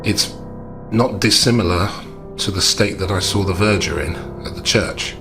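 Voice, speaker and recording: A man playing a calm, analytical gentleman investigator in a tabletop game, reasoning aloud with deliberate understatement. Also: sits low, vocal fry, over music. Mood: measured, grave.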